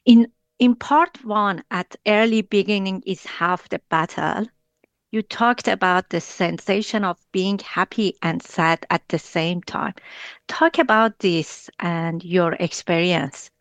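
A woman's voice: fairly low pitch, takes it slowly, dry recording. A woman hosting a podcast, presenting her guest and questions in a prepared interviewer's style. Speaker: podcast host.

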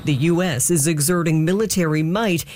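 A man's voice: on the high side, normal speed, darkish tone. A man delivering a scripted news report as a correspondent.

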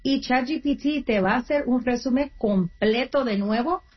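A woman's voice: high voice, moderate pace, lo-fi sound. A woman who is a guest on an interview, coaching job seekers and explaining in an earnest way, sometimes restarting her words. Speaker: guest, nonprofit career coach. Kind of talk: interview answers, advice.

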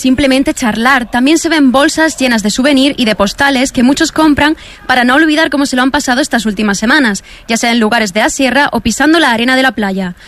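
A woman reports for radio news. Her voice is high and she talks fast, describing the scene in a reporter's style. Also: a bit bright. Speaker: field reporter.

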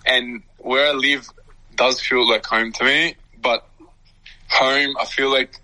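A young Australian man in his twenties talks openly and loosely, with "you know" and "like" scattered through his answers. His voice is strikingly bright and forward, even at middle pitch. He speaks as a relaxed radio interview guest. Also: slow pace, low-quality recording. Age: 20s. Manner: candid, casual, loose with fillers like "you know".

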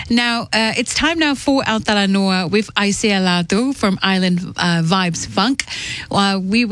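A woman's voice: medium pitch, a bit bright, no room sound. A woman hosting a radio interview, upbeat and mixing in Pacific-language greetings. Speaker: radio show host.

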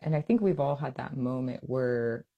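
Young adult woman author from hawaii, husky low voice, animated, lots of fillers in an interview. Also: moderate pace, highs cut off. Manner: animated, laughs often, uses fillers. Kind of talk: interview conversation.

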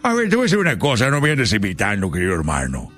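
A man's voice: lowish voice, huge pitch swings, quick pace, a bit bright, heavily acted. A man doing a comedic impression of an old Mexican ranchera singer, sermonizing his brother repetitively in a Mexican accent. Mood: indignant. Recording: music playing behind the voice.

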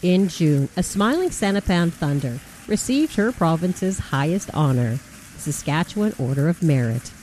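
A woman hosts a radio program, reading her narration in a measured, deliberate way. Her voice sits low and mellow, with a very lively rise and fall. Soft music plays faintly underneath. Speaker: radio show host.

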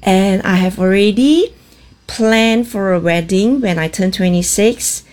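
A woman's voice: medium pitch, unhurried, darkish tone. A young woman, earnestly sharing her plans in a message to a radio show. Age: 20s. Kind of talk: recorded listener message.

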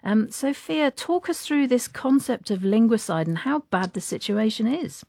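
A woman presenting live radio news, measured and steady, with a few "uh"s when ad-libbing. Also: fairly high pitch, no room sound. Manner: measured, with filler "uh"s in ad-lib. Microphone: studio microphone. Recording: dry studio sound.

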